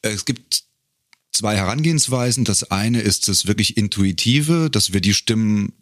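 A middle-aged man telling stories as an interview guest in a casual, wryly humorous way, often pausing to find his words. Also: on the low side, expressive, dry recording, studio quality. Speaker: podcast guest, a cappella singer and arranger.

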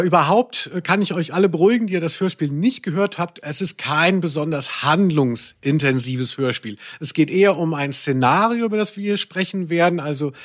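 A man with a slightly high voice hosts a casual media podcast. He talks in long, winding sentences with a tongue-in-cheek streak. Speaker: podcast co-host. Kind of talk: casual, chatty media discussion.

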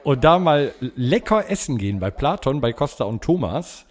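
A man hosting a podcast, chatting casually with friends in a halting, rambling way. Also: mid-range voice, sing-song. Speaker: podcast host. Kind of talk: casual chat among friends.

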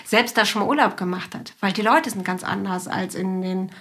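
Bright-voiced chatty woman podcast host. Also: medium pitch, moderate pace, breathy edge. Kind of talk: casual conversational interview.

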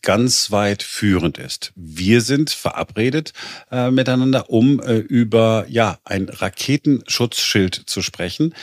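A man's voice: on the low side, no echo, studio quality. A man in his fifties from Germany hosting an interview in a conversational way. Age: 50s. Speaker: interviewer, host.

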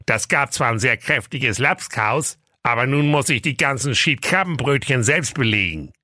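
Man doing a bright, grumbling canteen chef. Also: medium pitch, expressive, moderate pace, no room sound, studio quality, pitched above the performer's own voice. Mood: annoyed. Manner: grumbling, matter-of-factly threatening. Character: gruff, harried, menacing canteen chef. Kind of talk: comic fairy-tale audio story.

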